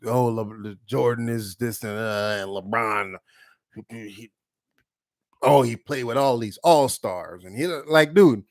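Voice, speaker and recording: A man co-hosting a podcast in casual chat, talking in an animated, emphatic way with plenty of swearing. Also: mid-range voice, unhurried, no echo, clean studio sound. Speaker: podcast co-host.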